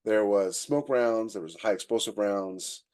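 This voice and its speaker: A man's voice: lowish voice, unhurried, darkish tone. A man co-hosting a podcast in a casual, conversational way, freely giving his opinions. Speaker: podcast co-host.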